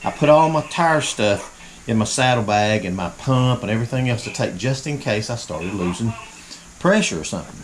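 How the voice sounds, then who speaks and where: A man's voice: on the low side, lively intonation, moderate pace. A man telling a long folksy story in casual chat, acting out what people said. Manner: folksy, acts out quotes. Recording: crowd noise behind.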